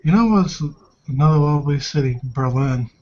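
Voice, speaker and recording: A man's voice: fairly high pitch, normal speed, a little mellow. A man making improvised in-character conversation as a player in a roleplaying game. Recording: dull, lo-fi online voice chat.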